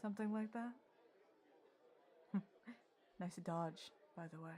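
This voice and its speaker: A woman's voice: mid-range voice, slow pace, dark tone, some chatter behind, natural voice. A woman playing a wry, teasing winged adventurer in an audio roleplay, dry and nonchalant. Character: winged female Coatla adventurer, wry and unbothered tavern drinker. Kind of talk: audio roleplay drama acting. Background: music.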